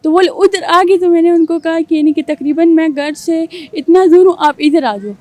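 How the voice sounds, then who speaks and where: A young man answering interview questions in a girlish falsetto, rambling with fillers. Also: a little mellow, no echo. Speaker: guest.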